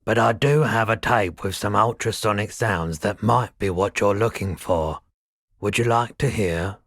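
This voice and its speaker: Mild pawn shop keeper, low-pitched male voice, soft-spoken, courteous, reflective, world-weary, dry studio sound, game dialogue. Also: unhurried, no room sound. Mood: wistful, musing. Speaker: video game voice actor.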